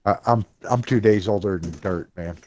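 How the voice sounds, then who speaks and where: A man's voice: fairly low pitch, moderate pace, a little mellow. A man in his fifties playing along on a gaming stream, joking, teasing and poking fun at his own age. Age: 50s. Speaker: co-player on stream. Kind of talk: casual gaming banter.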